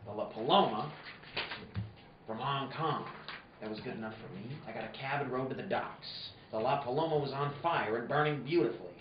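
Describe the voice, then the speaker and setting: A man's voice: medium pitch, fairly quick, recorded from a distance, natural voice. A man playing a tough, wisecracking private detective in an old-time noir radio play, clipped and wry. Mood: world-weary, wry. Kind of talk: 1940s noir radio narration and acting. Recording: echoey, dull, noisy room, off mic.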